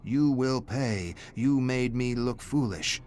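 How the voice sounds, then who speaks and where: A man's voice: medium pitch, slow pace, darkish tone, faint music behind, pitched above the performer's own voice, natural voice. A man voicing a proud, wrathful dragon that speaks inside a schoolgirl, with a commanding, imperious manner. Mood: vengeful, wrathful.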